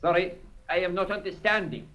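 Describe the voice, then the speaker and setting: An actor playing a stolid foreign seaman in a vintage radio thriller, speaking halting broken English with a foreign accent. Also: sits high, a bit bright, highs cut off. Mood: stolid, uncomprehending. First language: not English.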